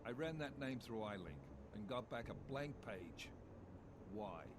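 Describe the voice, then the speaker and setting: Commander role for a game: male actor as a brusque, sardonic boss, animated intonation, loud noise behind. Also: mid-range voice, a little slow, close to an everyday voice. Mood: sceptical, curt. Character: irritable, sceptical male commanding officer. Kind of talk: video game cutscene acting.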